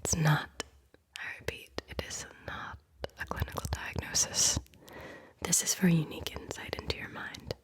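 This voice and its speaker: A woman whispering a playful guided visualization as an ASMR host, close to the mic. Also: moderate pace.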